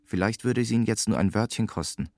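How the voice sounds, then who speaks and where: A man's voice: fairly low pitch, brisk, a little mellow, dry recording. A man narrates a literary story, relaying what its characters say. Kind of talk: literary narration in reported speech. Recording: music behind the voice. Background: music.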